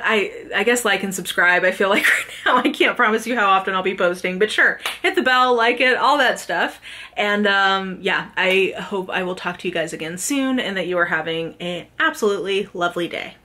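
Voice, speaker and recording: A woman with a bright, crisp-edged voice at an average pitch chats casually to her viewers as a vlog host. Her phrasing is informal and slangy, like someone reviewing favorites for regular followers. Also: expressive, normal speed. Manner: informal and chatty, slangy, peppered with "like". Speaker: book vlogger, host. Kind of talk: casual chat to camera, book review.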